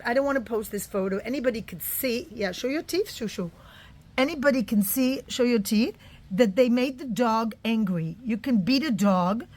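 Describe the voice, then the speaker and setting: A woman talking in an opinion vlog, emphatic and rambling, repeating words for stress. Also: medium pitch. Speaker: vlogger.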